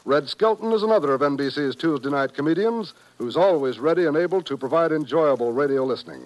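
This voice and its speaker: Slightly high-voiced American radio announcer, male. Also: animated, fast, no echo, highs cut off. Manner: formal, polished salesmanship. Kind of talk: 1950s radio announcing, scripted promotion.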